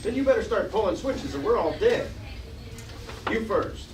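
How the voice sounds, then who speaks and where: A man's voice: sits high, sing-song, normal speed. A man playing a firm, brave spaceship pilot in an amateur script table read. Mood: firm, urgent. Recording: roomy, far from mic, noisy room.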